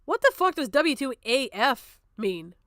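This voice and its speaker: A woman with a smooth, fairly high voice does live reaction commentary on a stream. She is combative and sarcastic and curses freely. The sound is dry and close, with no room on it. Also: sing-song, unhurried, fairly bright tone, no echo. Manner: combative, sarcastic, heavy swearing.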